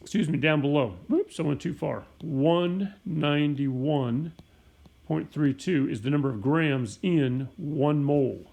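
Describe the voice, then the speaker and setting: Hoarse, raspy man teaching chemistry. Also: a little high, unhurried, darkish tone. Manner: plain step-by-step explaining, clears throat often. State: sick, hoarse voice. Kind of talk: recorded homeschool lesson.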